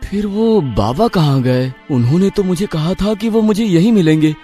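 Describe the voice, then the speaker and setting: A poor, kind-hearted villager for a cartoon. His voice is fairly high for a man and soft-toned, with an earnest, expressive delivery over music. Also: normal speed. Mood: puzzled. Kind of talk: Hindi moral cartoon dubbing.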